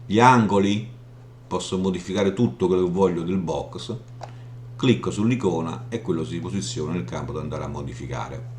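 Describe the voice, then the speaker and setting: A man giving an informal software walkthrough, explaining haltingly and often checking in as he goes. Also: low voice. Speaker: software tutorial presenter. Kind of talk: informal screen demo walkthrough. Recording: roomy echo, audible background hum noise.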